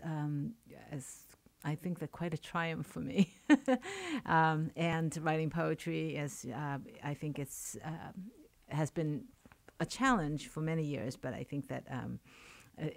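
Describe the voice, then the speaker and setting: A woman's voice: low voice, measured pace, vocal fry, no echo. A woman from China whose first language is Chinese, a poet and professor speaking as a radio interview guest, thoughtful, with many fillers and restarts. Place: radio studio.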